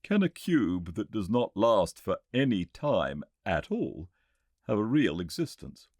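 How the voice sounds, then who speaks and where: An earnest Victorian gentleman inventor , as a male narrator plays him, lectures in a British accent with very lively, swinging intonation. He is formal and emphatic, pressing each point with relish, and the sound is dry and close, as in an audiobook. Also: lowish voice, dry recording, pitched above the performer's own voice, heavily acted. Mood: insistent, lecturing. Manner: emphatic, didactic, formal, deliberately pointed phrasing. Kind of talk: audiobook character reading.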